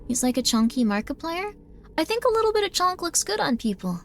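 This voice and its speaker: A woman's voice: very high-pitched, big pitch swings, a little fast, a little hiss or hum. A woman live-streaming a game, chatting in a bubbly way and often thanking her viewers. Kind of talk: casual live-stream chat while gaming.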